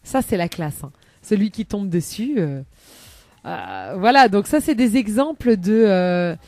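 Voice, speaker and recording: A woman's voice: medium pitch, sing-song, unhurried, mellow, no room sound. A woman chatting on a live radio show as a columnist, rambling with many hesitations and laughing often, into a studio microphone.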